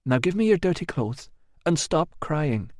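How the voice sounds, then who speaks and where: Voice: mid-range voice, sing-song, a little slow, a little mellow, dry recording, clean studio sound, pitched above the performer's own voice. A narrator voicing a blunt, practical young woman who speaks curtly and firmly. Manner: curt, matter-of-fact, firm. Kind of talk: audiobook acting.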